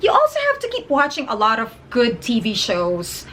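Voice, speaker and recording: A woman's voice: fairly high pitch, wildly swooping pitch, normal speed, noisy background. A young woman with a Filipino accent, a native Tagalog speaker, giving chatty, encouraging advice in a casual vlog. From Philippines. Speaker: vlogger and ESL teacher.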